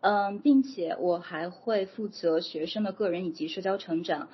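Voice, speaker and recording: Woman interpreter, kinda low voice, steady with lots of fillers, dull audio with faint hiss. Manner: steady, with frequent filler pauses. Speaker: interpreter and academic advisor. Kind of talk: consecutive interpreting.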